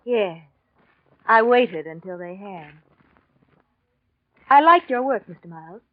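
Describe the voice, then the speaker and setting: An actress playing a seductive, secretive, self-assured art patron with a coy, measured delivery in a 1940s radio drama. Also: female voice, medium pitch, expressive, no room sound. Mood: coy, insinuating. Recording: dull lo-fi 1940s radio recording.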